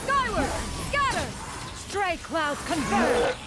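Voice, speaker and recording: A woman's voice: very high voice, big pitch swings, takes it slowly, heavily acted. A woman playing a spirited young fighter in a video game, calling out exclamatory, theatrical battle cries. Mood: fierce, spirited. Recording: game audio under loud music.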